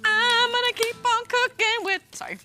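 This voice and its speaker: A woman's voice: very high-pitched, steady tone, normal speed. A woman co-hosting a TV show, chatting casually and reading a storybook tale aloud, with music playing behind. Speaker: TV show co-host.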